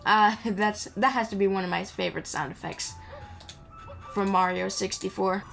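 A woman's voice: medium pitch, steady tone, normal speed, vocal fry, a bit bright. Playful, rambling woman gamer over game music. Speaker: gamer playing and commenting. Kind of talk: casual gameplay commentary. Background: video game music.

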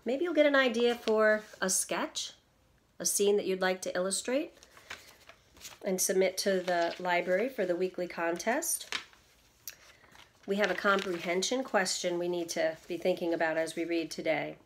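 A middle-aged woman reading aloud from a children's book like a teacher, addressing young listeners in a deliberate, explanatory way. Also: on the low side, slight background noise. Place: indoors at home, seated in an armchair in a small room.

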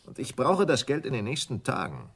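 An actor playing a smooth, scheming English stockbroker in a German radio crime drama, speaking in a deliberate, condescending way. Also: male voice, medium pitch, huge pitch swings. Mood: urgent, controlled.

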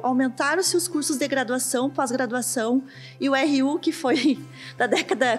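A woman in her thirties with a Brazilian Portuguese accent explains the work in a bright, high voice. She talks into a handheld reporter mic in a large empty kitchen, with faint music underneath. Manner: explanatory, uses filler "né". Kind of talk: on-site interview.

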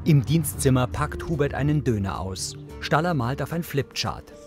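Male narrator, scripted scene description, mid pitch, clear background music. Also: animated, moderate pace. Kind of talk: scripted narration of on-screen action.

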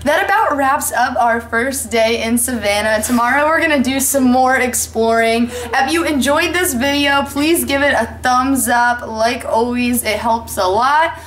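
A woman's voice: fairly high pitch, unhurried, few pauses, fairly bright tone. A young woman under twenty-one, chatting and laughing as she films a casual travel vlog on a handheld camera. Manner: giggly, chatty, often laughing. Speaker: vlogger. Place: hotel room and streets.

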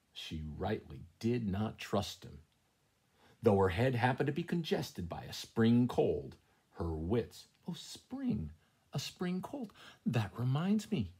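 A middle-aged man with a husky, breathy voice, reading a children's story aloud at home as a teacher, expressively, with chatty asides. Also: lowish voice, darkish tone. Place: small room at home, indoors. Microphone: handheld tablet at arm's length.

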